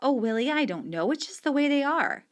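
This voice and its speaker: A woman's voice: high-pitched, big pitch swings, a little fast, pitched above the performer's own voice. A woman reading aloud and voicing a tired working mother who dodges her son's questions in a story. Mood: weary. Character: weary, guarded working mother.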